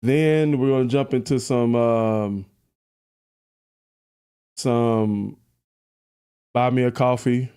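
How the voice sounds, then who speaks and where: A man with a deep voice hosting a live reaction stream, chatty and laughing at his own jokes. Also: steady tone, takes it slowly, pauses often, warm tone, clear, resonant tone. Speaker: livestream reaction host. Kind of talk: casual live-stream chat.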